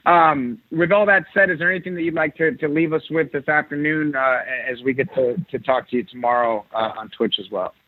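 A man with a Chicago accent hosting an interview, chatty and halting, with many restarts. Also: a little high, no echo. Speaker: host. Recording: tinny dry phone line.

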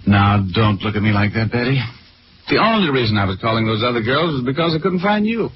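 A man's voice: mid-range voice, big pitch swings, brisk, noisy background, lightly acted. An actor playing a cocky, wry American private detective who narrates his own case in 1940s radio style. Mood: glib, teasing. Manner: breezy, flippant, wry. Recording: dull 1940s radio recording with music.

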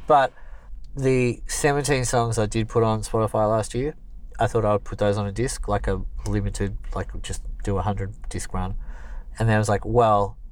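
A man's voice: lowish voice, fairly even intonation, normal speed. A man, a singer-songwriter, talking casually and rambling with many fillers and restarts. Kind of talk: casual conversation.

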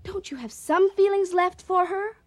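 An actress playing a plain-spoken, earnest waitress and peacemaker in a radio comedy, folksy and pleading. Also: female voice, very high voice, moderate pace. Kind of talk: radio comedy drama acting.

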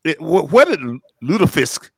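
A man hosting a casual podcast interview, speaking haltingly with many fillers. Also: fairly high pitch, very animated, gravelly, a little mellow, slightly rough, no room sound. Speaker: podcast host.

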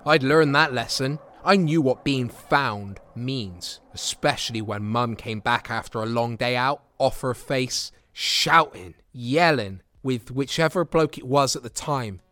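A man's voice: medium pitch, a little slow, hardly any pauses, pushed and bright, no room sound. An actor playing a wounded young homeless man in an audio drama monologue, bitter and haunted, in short broken phrases.

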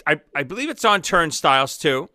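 Bright-voiced chatty man. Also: fairly high pitch, sing-song, brisk, dry recording. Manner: laughing, chatty, a bit nervous. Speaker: podcast co-host. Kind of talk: casual chat.

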